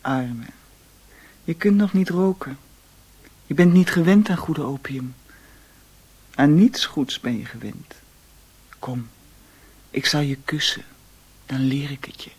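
An actress playing a world-weary, seductive mistress of an opium house in a radio play, languid and teasing. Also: female voice, low-pitched, slow, long pauses, darkish tone, husky, full character voice. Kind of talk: radio drama acting.

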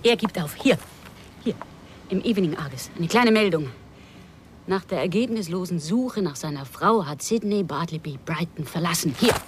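An actress playing an anxious, defiant runaway wife in a radio drama, speaking in an agitated, aggrieved way. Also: female voice, on the low side, expressive, moderate pace, noisy background, lightly acted. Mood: probing.

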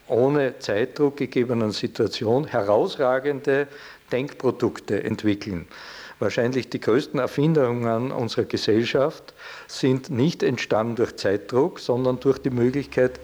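Mid-pitched man explaining as an expert. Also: darkish tone. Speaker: interviewed psychology expert. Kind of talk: explanatory interview talk.

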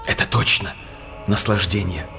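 A sly, cynical court jester. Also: male voice, deep voice, normal speed, gravelly, music in the background. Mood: dark relish. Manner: insinuating, theatrical verse storytelling. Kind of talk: Russian theatrical radio drama acting.

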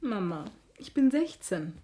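An actress playing a dreamy, defiant sixteen-year-old daughter who answers tersely and evasively in a radio play. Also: female voice, medium pitch, very animated, a little slow, mellow. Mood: evasive, defensive.

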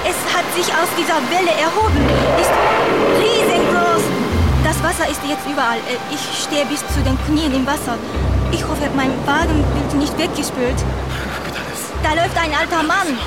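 An actress plays an alarmed Japanese woman reporting live from a disaster in a radio drama. Her voice is extremely high and comes in halting, urgent bursts. Loud music and sound effects run behind her. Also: natural voice. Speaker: field reporter. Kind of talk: German radio play as live breaking-news report. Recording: live outside broadcast over engine and surf noise.

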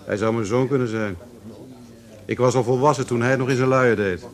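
An actor playing a jaded, wry older brother in a Dutch radio play, talking offhandedly. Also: male voice, mid-range voice, fairly even intonation, a little fast, lightly acted. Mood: wry, resigned.

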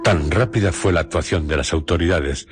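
Castilian guy narrator, super low voice, solemn radio drama storytelling, bit of music under it. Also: fairly quick. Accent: Castilian Spanish.

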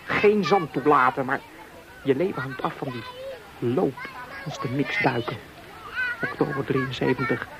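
An actor playing a bitter, obsessive soldier in a poetic radio play, with insistent, repetitive phrasing. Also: male voice, medium pitch, a little slow, pauses often, close to an everyday voice. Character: bitter, obsessive male soldier tending his gun. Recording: noisy radio play recording.

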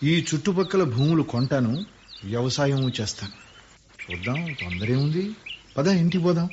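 A thoughtful landowner who speaks earnestly about the land. His voice sits a little high. It comes through a muffled old radio recording with faint hiss. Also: sing-song, normal speed. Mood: reflective. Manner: earnest, reflective. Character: Raghava, thoughtful middle-aged man fond of green land. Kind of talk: radio play acting.

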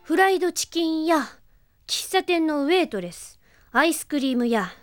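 A woman playing a feisty, hot-tempered young rocket pilot in a comic audio drama, brash and quick to complain. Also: high-pitched, expressive, faint music behind. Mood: frustrated.

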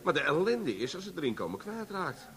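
A cocky, coarse Amsterdam wheeler-dealer in a radio comedy. He has a fairly high voice that leaps about expressively as he schemes. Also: big pitch swings, fairly quick, a bit bright. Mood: sly, cocky. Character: brash, scheming Amsterdam bar regular and womanizer. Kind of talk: comic radio play acting.

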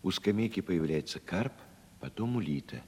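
A man's voice: low voice, expressive, normal speed, darkish tone, in a large hall, slight background noise. A man reading scripted narration for a 1970s Russian radio play.

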